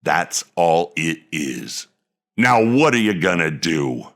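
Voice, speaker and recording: A man voicing an aging football coach who gives a locker-room pep talk with heavy emphasis in a gravelly voice. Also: deep voice, big pitch swings, slow, a bit bright, full character voice. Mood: fierce. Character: aging male football coach, worn down and fierce. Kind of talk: dramatic monologue read.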